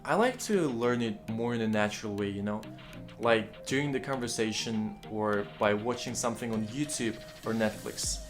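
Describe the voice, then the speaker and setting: A man's voice: on the low side, normal speed, faint music behind. Young man giving study tips. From Kazakhstan. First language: Kazakh. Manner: friendly, addresses viewers as guys, lively emphatic starts. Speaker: language-learning vlogger. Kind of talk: casual study-tips talk to camera.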